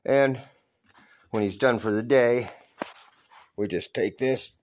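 A middle-aged man giving a casual, folksy, self-deprecating demonstration of his homemade build in short, halting phrases, recorded on a handheld phone in a garage. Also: mid-range voice, darkish tone, a little hiss or hum. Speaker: hobbyist DIY builder. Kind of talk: casual show-and-tell demonstration. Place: cluttered garage with open door. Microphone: handheld phone held by the speaker.

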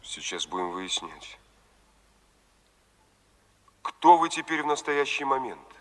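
An actor playing a calm, reassuring man from the future in a radio play, measured and courteous, under heavy reverb. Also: mid-range voice. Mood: inquiring. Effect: heavy echo reverb. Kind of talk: Soviet radio play acting. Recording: echoing long reverb.

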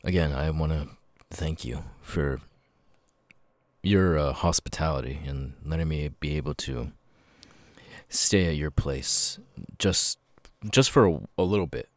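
Guy playing a troubled apologetic ex-con with tics, super low voice, slow and stammery, asmr roleplay. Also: no echo. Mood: confiding, hesitant. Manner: halting, stammering, sudden loud tic outbursts. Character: apologetic, troubled male ex-convict with involuntary tics. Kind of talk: ASMR audio roleplay, halting confiding monologue.

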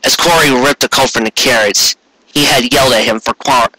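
Male narrator, intense dramatic story reading, medium pitch, dry recording, occasional stumbles. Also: brisk, pushed and bright, no echo, low-quality recording. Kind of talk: dramatic reading of fan fiction.

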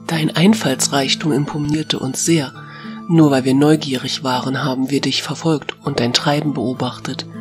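An actor voicing a proud female forest creature in an audio drama, measured and stern. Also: mid-range voice, steady tone, lightly acted. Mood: impressed. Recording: music behind the voice.

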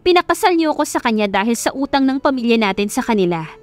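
A woman voicing a gentle young wife and dutiful daughter, apologetic and earnest, in a romantic audio story. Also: fairly high pitch, animated, fast talker, faint music behind, natural voice. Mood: pleading, firm.

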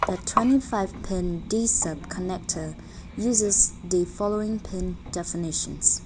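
Female tutorial narrator, slightly low voice, very slow careful read, light background noise. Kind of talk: scripted technical narration.

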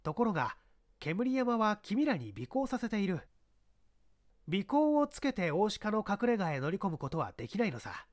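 A man voicing a confident police inspector in a dramatised detective story, explaining his deductions in an emphatic, rhetorical way. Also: high voice.

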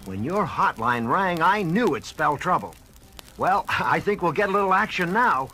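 Boyish hero's sidekick, high and animated, earnest, theatrical story-record drama. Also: male voice, normal speed. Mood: wry, keen. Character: eager young male superhero sidekick. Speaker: voice actor. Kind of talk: radio-drama acting on a children's story record.

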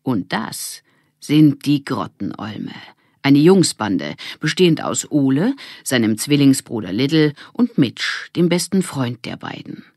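A woman's voice: rumbling low, unhurried, breathy edge, dry recording. Woman narrating a children's story, dry recording. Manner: expressive storytelling. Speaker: audiobook narrator.

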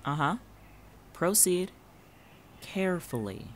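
A man's voice: high voice, very animated, takes it slowly, a bit bright, a little hiss or hum, exaggerated voice. An actor playing a guarded, sarcastic male college student in a fiction podcast, with a dry, curt manner.

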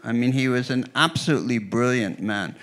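A middle-aged American man, an author and historian, explaining history in a measured way with frequent fillers, speaking into a handheld mic on an outdoor veranda stage. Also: mid-range voice, a bit bright. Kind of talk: onstage conversation.

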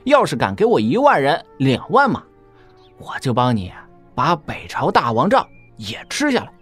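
A man playing a brash, glory-hungry cavalry general in a wuxia audio drama, with a swaggering, blunt, rough-spoken manner. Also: medium pitch, huge pitch swings, moderate pace, a bit bright, soft background music, exaggerated voice. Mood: bold, demanding.

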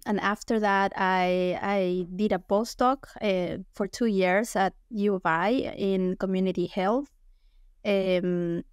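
A woman from Ecuador speaks through an earbud mic on a video call, her voice dry and close with no room sound. She talks at a middle pitch, hesitant, with many restarts and fillers. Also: smooth, no echo. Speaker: guest anthropologist. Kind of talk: casual interview talk. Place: small home room. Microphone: earbud headset on video call.

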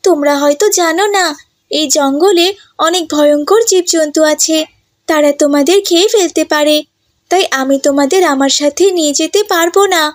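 A caring cartoon mother duck, voiced by a woman. She speaks in a high, dry-sounding voice, soothing and protective, with a cautioning motherly manner suited to a fairy tale. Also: normal speed, no echo, natural voice. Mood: worried, warning. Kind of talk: animated fairy-tale voice acting.

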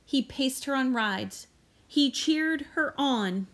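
Animated American woman reading a storybook, slow-paced. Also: high-pitched. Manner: deliberate, dramatic emphasis on key words. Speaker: read-aloud storyteller. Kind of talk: expressive children's picture book narration. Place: outdoors beside a house. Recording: clear background ambient noise outdoors.

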